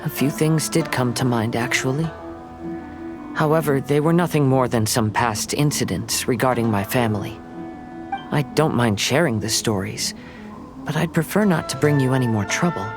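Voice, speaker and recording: A young wandering samurai played by a man talks in a gentle, reserved, mid-pitched voice. His delivery is formal and reflective, video game dialogue over clear background music. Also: moderate pace, natural voice.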